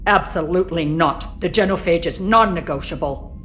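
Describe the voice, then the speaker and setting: A woman acts out an imperious alien stateswoman for a cinematic game. Her voice sits fairly low and she speaks with bitter disdain, as one used to being obeyed. Music plays behind her. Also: moderate pace, a bit bright, lightly acted. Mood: haughty, scornful. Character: haughty, bitter alien female political leader. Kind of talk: cinematic video game acting.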